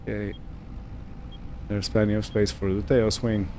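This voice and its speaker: A man giving casual roleplay gameplay commentary, unhurried and thinking aloud as he goes. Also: fairly low pitch, level tone, warm tone, a little hiss or hum. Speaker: gaming video host.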